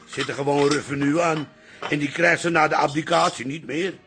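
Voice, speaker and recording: A man's voice: on the high side, steady tone, fairly quick, fairly bright tone, faint music behind, heavily acted. A man playing a bossy, indignant old pub patriarch with an Amsterdam accent in a radio play. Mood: scornful. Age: older. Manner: gruff, indignant, commanding.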